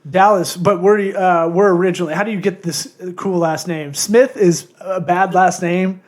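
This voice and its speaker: A man's voice: high-pitched, fairly even intonation, moderate pace. A man co-hosting a podcast with casual, self-deprecating, profane comic chat and a tendency to mumble. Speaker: podcast co-host. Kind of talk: casual comedic interview chat.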